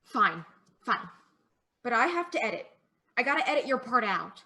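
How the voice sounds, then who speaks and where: A woman's voice: a little high, big pitch swings, normal speed, sharp tone, heavily acted. A woman playing a sheltered, eager young daughter in a play performed as a video call, coaxing and insistent in a girlish way. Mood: grudging.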